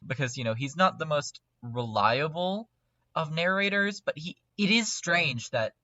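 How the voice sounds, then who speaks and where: Animated man with a bright voice. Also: fairly high pitch, measured pace, no echo, dull audio. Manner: animated, emphatic, repeats words for emphasis. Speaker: podcast guest, lore expert. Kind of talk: casual discussion.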